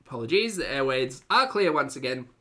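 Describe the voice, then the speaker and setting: A man's voice: mid-range voice, very animated, fairly quick, sharp tone. A man hosting a reaction stream, chatting casually to his live audience with dry, teasing sarcasm. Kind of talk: casual live commentary to a chat.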